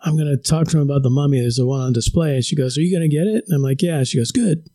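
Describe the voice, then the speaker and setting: A man co-hosting a podcast, quickly and animatedly retelling a conversation. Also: fairly high pitch, dark tone. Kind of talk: casual chat.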